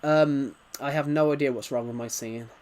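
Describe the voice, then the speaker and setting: A man's voice: mid-range voice, steady tone, a little fast, a little mellow. A man hosting a casual gaming livestream, talking in a rambling, stuttering way as he answers viewer comments. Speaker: livestream host.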